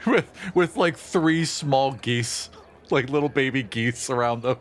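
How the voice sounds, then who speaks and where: A man's voice: mid-range voice, a little slow, a little hiss or hum. A man chatting casually and jokily, adding comic details to an improvised tabletop game. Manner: jokey, animated, builds on others' bits. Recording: faint background music bed. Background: music.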